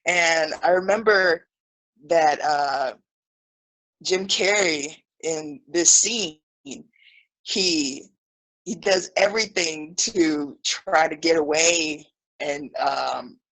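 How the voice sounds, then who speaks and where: A woman's voice: low voice, takes it slowly, low-quality recording. A woman in her thirties from Brazil, an English teacher, chatting casually about a comedy film on a video call. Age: 30s. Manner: relaxed, chatty, lots of "you know". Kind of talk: casual storytelling on a livestream.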